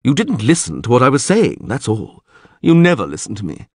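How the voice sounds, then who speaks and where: A man's voice: medium pitch, wildly swooping pitch, brisk, husky, no echo, studio quality, exaggerated voice. A man voicing a sardonic, know-it-all bald male priest who lectures the people around him with scorn. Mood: scornful.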